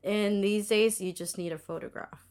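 A woman's voice: medium pitch, steady tone, normal speed, darkish tone. A young woman co-hosting a casual podcast, English not her first language, explaining a topic with lots of fillers, restarts and giggles. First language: Filipino language. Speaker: podcast co-host. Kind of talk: casual chat.

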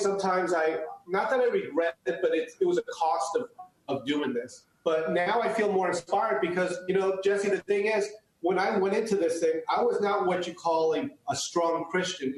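A man's voice: a little high, heavy reverb, slight background noise, low-quality recording. A man, born in the US and raised speaking Chinese first, answering interview questions earnestly with many restarts. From United States. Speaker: guest, photographer.

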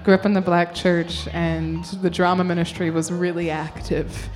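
A woman's voice: fairly low pitch, flat delivery, normal speed, darkish tone, soft background music. A young woman, an actor guest, talking casually in a radio interview and laughing often. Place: storefront music bar.

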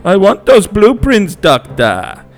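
A man's voice: sits high, wildly swooping pitch, takes it slowly, pitched above the performer's own voice, full character voice. A man voicing a menacing, theatrical villain who makes threats in a mock German accent. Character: menacing heavily accented villain demanding blueprints.

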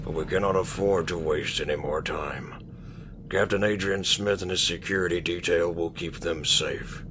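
An actor as a grave middle-aged military commander in a sci-fi audio drama. His voice is deep and resolute, heard over clear background ambience. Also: fairly even intonation, moderate pace, fairly bright tone, slight background noise. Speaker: commanding officer.

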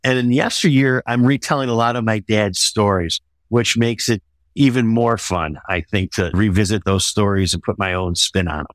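A man's voice: on the low side, moderate pace, no room sound. A man talking as a guest author in an interview, discussing his own work conversationally.

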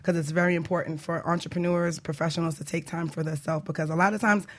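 A woman's voice: lowish voice, fairly even intonation, fast. A woman who works as a life coach, co-hosting a wellness radio show in casual chat. She laughs often and jokes about herself. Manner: bubbly, laughs often, self-joking.

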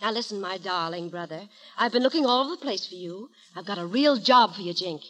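A woman's voice: medium pitch, animated, brisk, close to an everyday voice, poor audio. An actress playing a brash, mischievous young woman in a 1930s radio comedy, sassy and wisecracking. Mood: teasing. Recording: vintage 1930s radio broadcast.

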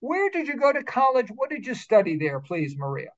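A man's voice: very high voice, very animated, a little fast, dull audio. Formal upbeat man hosting a show. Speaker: radio show host. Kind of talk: scripted show introduction and interview.

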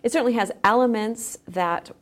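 A woman's voice: a little high, moderate pace. Woman explaining in casual conversation. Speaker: podcast guest, teacher.

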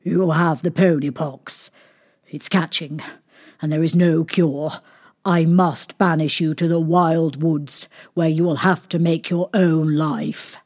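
A woman's voice: medium pitch, quick pace, hardly any pauses, slightly rough. A woman voicing a despairing, gullible king and sorrowful father from a storybook fairy tale, in a plaintive manner. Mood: sad, wailing.

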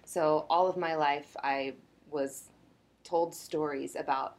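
A woman author answering interview questions in a thoughtful way, often opening with a soft "uh, well". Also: low voice, fairly even intonation, takes it slowly.

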